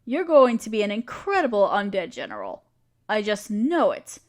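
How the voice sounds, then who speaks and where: Earnest young-hearted necromancer played by a woman, very lively. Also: medium pitch, normal speed. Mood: reassuring, encouraging. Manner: conversational, reassuring, explanatory. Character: earnest, caring female necromancer with a grand plan. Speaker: voice actor in a roleplay audio. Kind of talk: scripted audio roleplay spoken to the listener.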